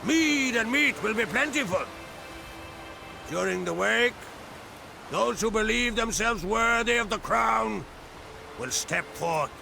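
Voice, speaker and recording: An actor playing a gruff older island war chief addressing his clans in a video game cutscene, slow and commanding. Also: high-pitched, expressive, music in the background, heavily acted. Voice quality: gravelly, commanding. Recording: score underneath.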